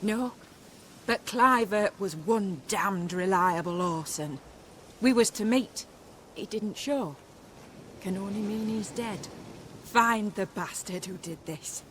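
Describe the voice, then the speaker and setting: A sharp, forceful female voice. It is a cocky, coarse fantasy game swordswoman, mocking and pushy. Faint noise sits behind her. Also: medium pitch, takes it slowly. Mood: insistent, vengeful. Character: brash, pushy sword-fighting ruffian woman, mocking and vengeful. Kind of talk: video game voice acting.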